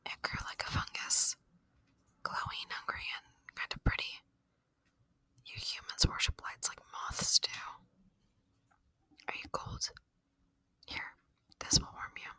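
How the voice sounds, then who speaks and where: A woman voicing a gentle, lonely alien in an ASMR roleplay, whispering slowly and intimately in a soothing, reflective way. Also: dry recording. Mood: fascinated. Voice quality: breathy whisper. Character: gentle, lonely alien abductor, curious about humans. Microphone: close, dry microphone.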